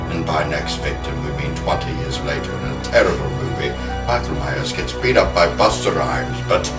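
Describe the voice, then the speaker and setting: A man hosting a horror podcast, moving between talk and dramatic storytelling. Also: rumbling low. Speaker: podcast host. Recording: roomy, music behind.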